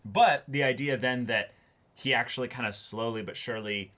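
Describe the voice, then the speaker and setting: A man co-hosting a casual discussion show, talking in an animated way, repeating phrases for emphasis and halting as he thinks aloud. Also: mid-range voice. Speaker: co-host of an anime reaction show. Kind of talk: casual discussion of an anime episode.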